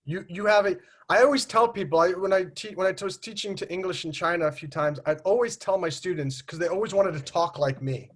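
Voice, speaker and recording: An American man with a notably high, bright voice hosts a casual group chat over a video call. He talks in an animated, teasing way, slips into mock accents, and laughs easily. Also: brisk, few pauses. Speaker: host.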